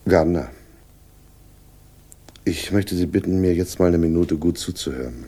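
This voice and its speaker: A world-weary police inspector in a 1960s radio thriller, with a low, dark and husky voice. He speaks in a dry, deliberate way, superior and sardonic. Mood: grave warning. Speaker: actor in a radio crime drama. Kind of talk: 1960s German radio play acting, dialogue.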